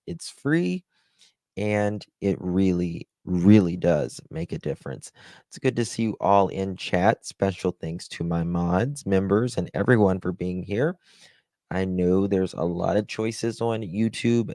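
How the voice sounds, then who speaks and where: A low-pitched man talks unhurriedly to his live-stream audience, chatty and self-deprecating, reading out names from chat and thanking them. His voice sits deep and the sound is dry and close, with no room around it. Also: a little mellow, no echo. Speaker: livestream host.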